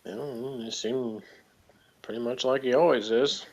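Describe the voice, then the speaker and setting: A man's voice: mid-range voice, fairly even intonation, moderate pace, vocal fry, muffled recording, a little hiss or hum. Hesitant, meandering man in casual chat. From outside Britain. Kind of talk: casual unscripted TV review chat.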